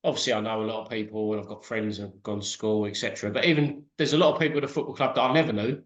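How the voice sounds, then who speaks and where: A man's voice: fairly low pitch, steady tone, rapid-fire, echoey room, dull audio. A man from the Thurrock area of England hosting an interview in a friendly, hesitant way with fillers. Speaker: interviewer, host. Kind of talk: casual interview.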